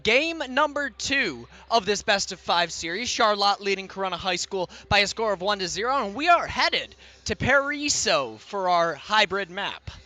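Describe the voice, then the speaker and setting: High bright young esports caster. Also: male voice, big pitch swings. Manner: rapid play calling that climbs in energy during fights. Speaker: play-by-play caster. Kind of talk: esports play-by-play commentary.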